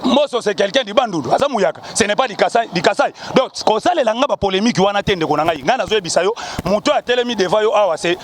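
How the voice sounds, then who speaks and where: A man's voice: sits high, animated, quick pace, few pauses, slight background noise. A man from Kongo Central, arguing emphatically as a party supporter in a street interview. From Kongo Central, DR Congo. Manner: emphatic, repetitive, rallying. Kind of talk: impassioned political argument.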